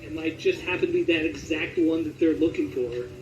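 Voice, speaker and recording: High-voiced man interviewing from across an echoey room. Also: a little fast, a little hiss or hum. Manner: informal, jokey, chatty. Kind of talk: casual interview chat. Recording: echoey, distant, dull sound with music.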